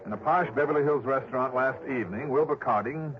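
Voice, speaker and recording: An actor playing a cool, sceptical insurance investigator in a 1960s radio drama, with a clipped, dry, questioning manner. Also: male voice, medium pitch, fast talker, background noise, close to an everyday voice. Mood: sceptical, curious. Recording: dull old radio recording, crowd behind.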